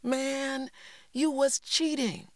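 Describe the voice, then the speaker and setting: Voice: high-pitched, fairly even intonation, extremely slow, no room sound, pitched above the performer's own voice. A reader voicing an earnest teenage older brother, calling out in a raised, urgent voice. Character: earnest older brother, a boy in his early teens.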